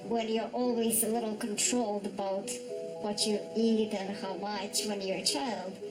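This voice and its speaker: A woman in her forties from Russia with a Russian accent, speaking in a documentary interview in a measured way with pauses and restarts. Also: mid-range voice, level tone, over loud music, poor audio. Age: 40s.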